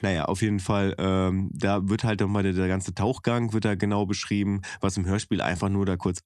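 Ein Mann, der locker und zögernd mit vielen Füllwörtern über ein Buch und ein Hörspiel plaudert. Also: male voice, low voice, little pitch movement, a little fast, a little mellow, no echo, studio quality. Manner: hesitant, many fillers and restarts. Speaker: podcast co-host. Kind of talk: casual chat about a book and audio drama.

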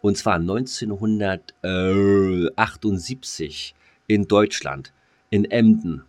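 A man's voice: fairly low pitch, slow, darkish tone. A man co-hosting a podcast in casual comedic banter, rambling and throwing in jokey asides. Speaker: podcast co-host.

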